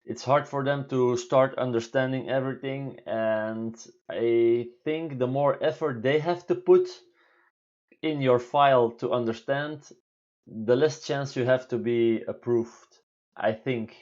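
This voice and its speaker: A man in his thirties from Belgium with a deep voice, answering viewer questions in halting English on a home live stream. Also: a little mellow, highs cut off. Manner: halting, many fillers and restarts, non-native English phrasing. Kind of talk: casual live Q&A talk. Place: small room indoors at home.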